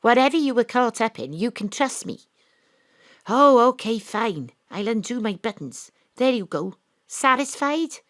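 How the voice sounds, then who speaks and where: A woman's voice: a little high, animated, measured pace, fairly bright tone, no echo, clean studio sound. A woman voicing a brash private eye who was once a Welsh rugby star, narrating her own noir story with a wry tone. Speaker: narrator and detective. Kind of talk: noir audio drama narration. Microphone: home studio mic.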